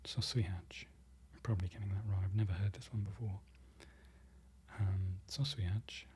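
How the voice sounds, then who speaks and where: Soft whispering ASMR language presenter. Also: sits low, fairly even intonation, a little hiss or hum. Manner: whispering throughout, soft and close; unhurried, repeats foreign words slowly, self-correcting. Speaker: presenter learning Polish from a book.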